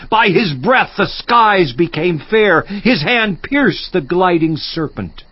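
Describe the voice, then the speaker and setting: Slow, dramatic righteous sufferer. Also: male voice, high voice, lively intonation, slightly rough, heavily acted. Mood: awed. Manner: theatrical, emphatic. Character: Job, anguished, defiant, righteous suffering man. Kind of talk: dramatized scripture monologue. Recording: slightly muffled audio.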